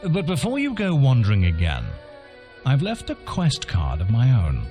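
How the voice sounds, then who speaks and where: A knowing wizard mentor in a video game, theatrical, super swoopy intonation, faint music under. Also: male voice, mid-range voice, wildly swooping pitch, moderate pace, warm tone. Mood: genial, concerned.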